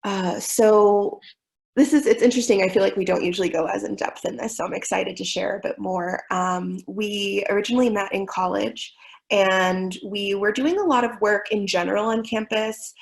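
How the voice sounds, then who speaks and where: A woman's voice: mid-range voice, fairly even intonation, moderate pace, gravelly. A young woman from Miami presenting a webinar in an earnest, thoughtful way with frequent fillers. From Miami, United States.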